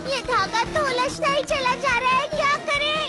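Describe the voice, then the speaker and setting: A woman's voice: very high voice, fairly even intonation, moderate pace. A woman voicing a fretful, bossy but caring robot cat in a cartoon dub, exclaiming and cautioning. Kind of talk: Hindi cartoon dub acting. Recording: loud music under the voice.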